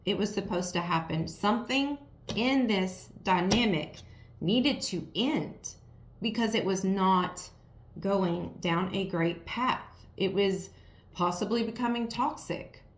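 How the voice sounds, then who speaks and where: Hoarse woman tarot reader, mid pitch, blunt and repetitive, roomy echo. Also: slow pace. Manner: frank, repetitive, talks straight to the viewer. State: raspy, strained voice from yelling. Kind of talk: casual tarot reading to viewers.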